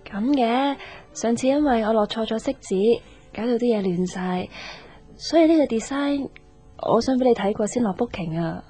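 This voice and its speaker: An actress playing a timid, apologetic young office colleague in a radio drama, tentative and polite. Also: female voice, fairly high pitch, expressive, normal speed, dark tone, faint music behind, natural voice. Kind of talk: Cantonese radio drama acting.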